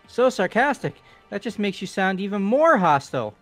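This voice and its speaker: A man playing an excitable, cowardly young slacker in a video game, whiny and defensive. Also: sits high, normal speed. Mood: self-pitying. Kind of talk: video game character voice-over. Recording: music bed behind voice.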